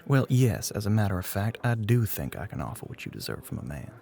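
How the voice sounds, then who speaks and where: A man playing a smug, flirty, middle-aged bartender with a Southern US accent in an intimate ASMR roleplay, smooth-talking and often dropping toward a whisper. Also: on the low side, big pitch swings, quick pace, dark tone. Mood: confident, flirty. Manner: drawling, smooth-talking, pet names, often breathy near-whisper.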